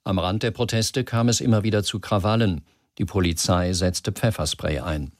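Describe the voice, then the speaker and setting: A radio newsreader played by a man delivers a scripted bulletin. The voice is deep, and the delivery is sober and factual. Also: moderate pace, over music, natural voice.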